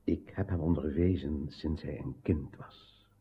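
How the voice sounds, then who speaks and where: Radio-play ancient priest: dignified, deliberate, wide-swinging delivery on a narrow, dull recording. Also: male voice, a bass voice, fairly even intonation. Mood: solemn, proud. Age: older. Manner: solemn, declamatory. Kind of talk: radio drama acting.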